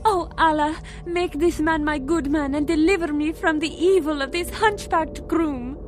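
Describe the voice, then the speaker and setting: A woman's voice: sits high, normal speed, faint music behind, natural voice. A woman playing a desperate young bride praying and pleading in an audio drama. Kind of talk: audio drama acting of an Arabian Nights tale.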